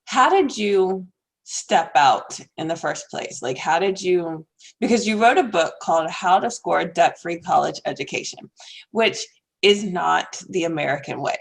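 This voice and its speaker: A woman's voice: fairly low pitch, expressive, measured pace, creaky. A woman hosting a podcast, enthusiastic, laughing mid-sentence and saying "like" often. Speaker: podcast host. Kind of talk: casual interview chat.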